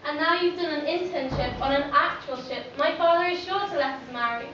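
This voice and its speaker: An affectionate young sweetheart, played by a woman, high-voiced and projecting on stage. The hall is very echoey and the recording noisy. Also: fairly quick, recorded from a distance, lo-fi sound, lightly acted. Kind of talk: amateur pantomime stage acting. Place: stage in a large theatre hall. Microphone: far camera.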